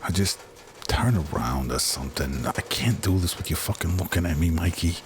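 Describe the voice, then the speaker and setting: A man voicing a gruff, world-weary, aging detective who grumbles and swears in an amateur noir radio drama. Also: low-pitched, animated, normal speed. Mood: grumbling. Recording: noisy amateur recording.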